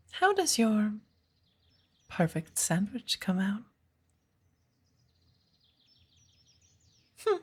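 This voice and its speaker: A woman playing a patient, playful witch of the woods who gently teaches an apprentice in a soft ASMR roleplay, with a breathy voice. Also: a little high, full character voice. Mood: curious, encouraging. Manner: slow, measured, gently instructive.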